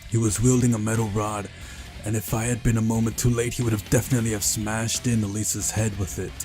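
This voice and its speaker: A man's voice: fairly low pitch, little pitch movement, fast talker, over music. A man reading a story aloud as dramatic narration, as in a visual novel playthrough.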